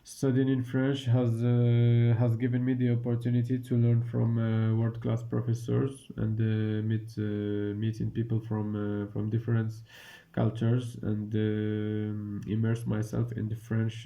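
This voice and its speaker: A man in his twenties from Morocco, an engineering student answering interview questions hesitantly with many pauses and restarts. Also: fairly low pitch, monotone, creaky, warm tone. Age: 20s. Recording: dull lo-fi sound.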